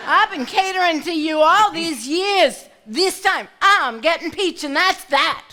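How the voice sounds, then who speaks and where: An actress plays a stubborn, fed-up small-town woman. Her voice is extremely high and forceful, at a slowish pace. She is emphatic and defiant, played for the stage. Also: animated, exaggerated voice. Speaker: stage actor. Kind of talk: live stage play dialogue.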